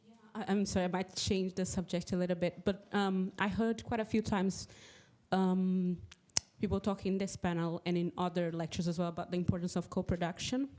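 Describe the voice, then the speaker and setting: A woman's voice: lowish voice, level tone, fairly quick, a little mellow. A woman in the audience asking the panel a question, hesitant and apologetic, searching for words. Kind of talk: question from the floor.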